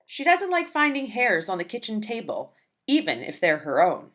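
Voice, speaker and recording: A woman reading a children's picture book aloud in a gentle, expressive storytelling manner. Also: mid-range voice, normal speed.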